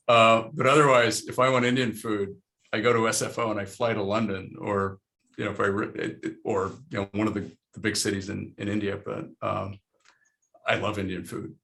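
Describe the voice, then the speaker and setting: A man's voice: fairly low pitch, steady tone, normal speed, gravelly, a bit bright. A middle-aged man from Lebanon, a panel guest and investor, talking frankly in a casual, rambling way with many restarts.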